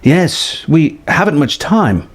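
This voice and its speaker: A man voicing an earnest, awestruck young woman apprentice who speaks haltingly in a space-adventure story. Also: a little high, big pitch swings, slightly rough, pitched above the performer's own voice, full character voice. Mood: halting, urgent.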